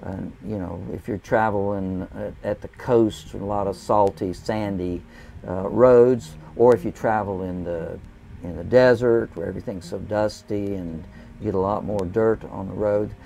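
Gravelly older man giving camping tips. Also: on the low side, steady tone, dark tone, a little hiss or hum. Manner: plain, folksy, unhurried with frequent "uh" fillers. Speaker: RV travel vlogger. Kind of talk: casual how-to talk to camera. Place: inside a camping trailer, small room. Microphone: clip-on lapel mic.

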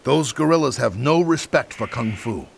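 A man voicing a stern, exacting old martial arts master in an animated game, with clipped, dry, commanding delivery. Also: medium pitch, normal speed, creaky, slight background noise.

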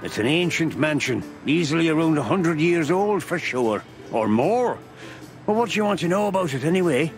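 An actor playing a folksy, sly old beaver shopkeeper in a film noir game, with a teasing manner. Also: male voice, on the high side, lively intonation, moderate pace, close to an everyday voice. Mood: cagey, cautioning. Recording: music behind the voice.

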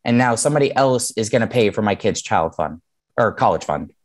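A man's voice: lowish voice, expressive, fairly quick. A man in his thirties talks through an online seminar from a home office, heard over a video call. His delivery is conversational and explanatory, with frequent "um" and "right?" as he checks in with the audience. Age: 30s. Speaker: mortgage lender teaching a webinar.

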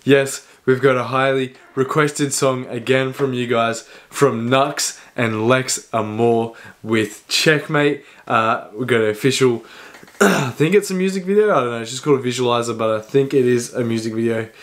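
A man hosting a music reaction video in a relaxed chatty way, a little hoarse from a cold and coughing now and then. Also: medium pitch, normal speed. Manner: chatty, talks over the song with short reactions. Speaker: reaction channel host. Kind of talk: casual music reaction chat.

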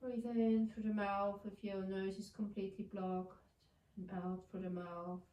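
A woman in her thirties with a blocked nose from a cold, guiding a yoga session in a gentle, soothing way from across a bare living room. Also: mid-range voice, level tone, a little slow. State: congested with a cold. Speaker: yoga teacher. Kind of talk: guided yoga instruction. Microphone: far camera. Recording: echoey room, mic far off.

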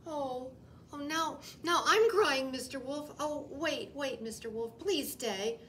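A woman's voice: sits high, slow, fairly bright tone, voice pushed up. A woman voicing a nervous, fibbing little rabbit in a children's picture book, stammering and insisting with flustered emphasis. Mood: hesitant, softening. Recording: distant mic, faint room noise.

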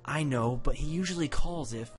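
Guy doing worried mom voice, high and fluttery, storytime drama. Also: moderate pace, over music, lightly acted. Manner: high-pitched, faltering, fretful. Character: anxious mother, worried about her missing son.

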